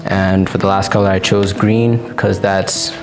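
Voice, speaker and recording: Deep-voiced teen boy, flat and gravelly. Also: moderate pace. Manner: relaxed, explanatory, frequent "like" fillers. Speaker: student. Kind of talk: presenting his language portrait. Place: classroom indoors. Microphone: lapel. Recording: guitar music underneath.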